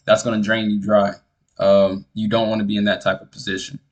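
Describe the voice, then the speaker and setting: A man with a fairly low voice, talking straight to camera in a relaxed vlog. He is earnest and keeps circling back to the same point. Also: fairly quick. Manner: earnest, repetitive, speaks straight to the viewer. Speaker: music producer giving advice. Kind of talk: casual advice vlog.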